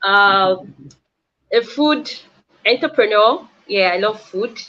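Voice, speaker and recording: A woman from eastern Nigeria, a food entrepreneur, introducing herself on an online panel in a friendly, unhurried way. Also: mid-range voice, expressive, bright, forceful tone, highs cut off.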